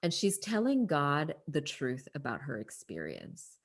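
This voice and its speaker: A woman's voice: low-pitched, huge pitch swings, a little slow, husky. A woman talking through Bible stories in conversation, earnest and emphatic. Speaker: podcast discussion partner.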